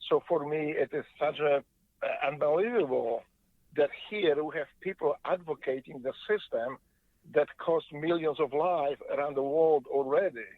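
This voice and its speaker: A man from Poland with a Polish accent, a guest telling his story in halting, earnest speech over the phone from a moving car. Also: on the high side, no room sound. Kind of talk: phone interview. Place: car on the freeway. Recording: tinny phone line, dry.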